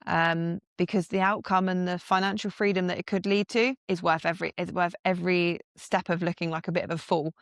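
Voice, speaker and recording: A woman's voice: fairly low pitch, little pitch movement, a little fast, hardly any pauses, no echo, studio quality. Frank, upbeat woman co-host. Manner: frank and upbeat, swears casually. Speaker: podcast co-host, fitness business owner. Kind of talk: casual podcast chat.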